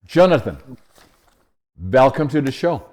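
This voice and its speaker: A man's voice: mid-range voice, very animated, a little slow, darkish tone. A man hosting an interview podcast, chatting casually and often restarting his questions as he goes. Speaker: podcast host.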